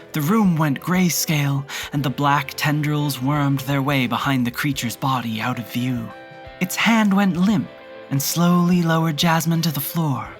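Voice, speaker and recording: A narrator reading scripted dramatic fiction in a measured, solemn manner. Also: medium pitch.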